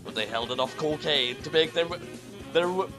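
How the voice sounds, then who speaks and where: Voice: mid-range voice, normal speed, over music. A reader doing a comedic dramatic reading of badly written fan fiction, stumbling and repeating phrases over the garbled text.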